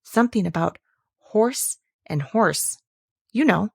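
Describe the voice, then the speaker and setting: A woman's voice: mid-range voice, expressive, takes it slowly, creaky, slightly rough, no echo, clean studio sound, heavily acted. Storybook insect, very small and sad, timidly coaxing, to be voiced by a woman.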